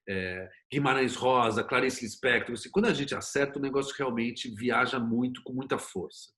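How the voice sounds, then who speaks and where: A middle-aged man with a Brazilian accent, speaking as an interview guest in a colloquial, opinionated, conversational way. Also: mid-range voice. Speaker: interview guest, series producer and screenwriter. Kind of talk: conversational interview talk with anecdotes.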